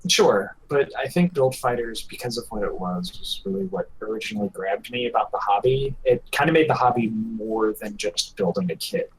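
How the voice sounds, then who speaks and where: A man's voice: on the low side, normal speed, few pauses, slight background noise, poor audio. A man chatting casually as a panelist on a hobby podcast.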